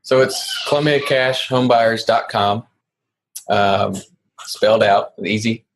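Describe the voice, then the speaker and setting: Male interview guest from South Carolina, lowish voice, relaxed, hesitant delivery with fillers. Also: takes it slowly, a little hiss or hum, low-quality recording. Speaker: guest, real estate investor. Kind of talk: casual interview.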